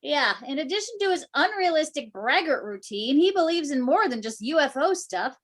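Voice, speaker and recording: A woman's voice: high voice, big pitch swings, moderate pace, a bit bright. A woman playing a geeky, wisecracking conspiracy theorist researcher in an amateur readers theater reading. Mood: intrigued.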